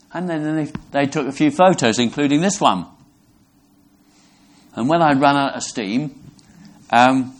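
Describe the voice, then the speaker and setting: A man from Brixham, England, preaching a sermon in an earnest, halting way, often restarting his words. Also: medium pitch. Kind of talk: sermon to a congregation.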